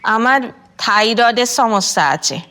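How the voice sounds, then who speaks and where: A woman's voice: on the high side, lively intonation, a little slow, pushed and bright. An actress playing an evasive woman under questioning in a radio drama, with a languid drawl.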